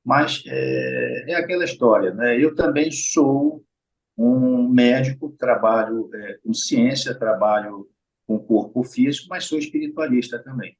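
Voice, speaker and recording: A man's voice: medium pitch, lively intonation, measured pace, poor audio. A middle-aged man with a Brazilian Portuguese accent, a heart surgeon explaining things patiently in an interview and often checking in with his listeners. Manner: explains patiently, often adds tag questions and addresses listeners directly. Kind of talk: explanatory conversational interview talk.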